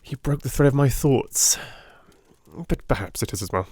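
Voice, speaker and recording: A man's voice: mid-range voice, moderate pace, darkish tone, husky, no room sound, studio quality, lightly acted. A man playing a brilliant, brusque and self-assured detective in a dramatised audiobook, with a precise, lecturing manner. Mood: explaining, self-assured. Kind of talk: dramatised audiobook character acting.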